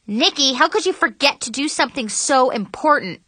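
A woman's voice: high voice, expressive, a little fast, sharp tone, no room sound, pitched above the performer's own voice. A woman playing an outspoken, sarcastic teenage girl with pointed exclamations in an audiobook. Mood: incredulous.

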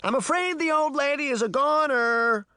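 A man's voice: mid-range voice, very animated, a little slow, creaky, bright, forceful tone, no echo, clean studio sound, exaggerated voice. A man voicing a boastful, indignant fairy trickster boy in a theatrical, crowing way for an audiobook. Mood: taunting. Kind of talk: audiobook character voice.

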